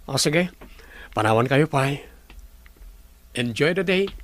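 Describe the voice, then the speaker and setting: An actor playing a polite, welcoming resort manager in a radio drama. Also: male voice, fairly high pitch, animated, slow. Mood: courteous.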